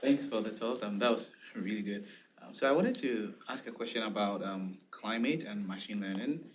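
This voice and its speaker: A man with a fairly low voice asks a polite, unscripted question from the audience. There is faint noise behind him. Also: normal speed. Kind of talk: spontaneous, polite question at a talk.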